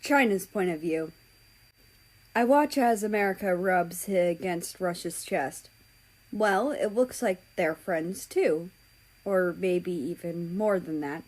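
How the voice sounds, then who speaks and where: A woman's voice: fairly low pitch, slow pace, darkish tone, low-quality recording. A woman narrating a story aloud in a dramatic reading style. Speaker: fan fiction reader.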